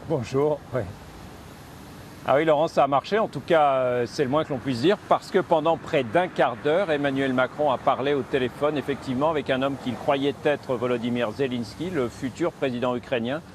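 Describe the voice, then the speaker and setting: A man's voice: mid-range voice, moderate pace. A man from giving a conversational live news report as a foreign correspondent. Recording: outdoor city noise with traffic.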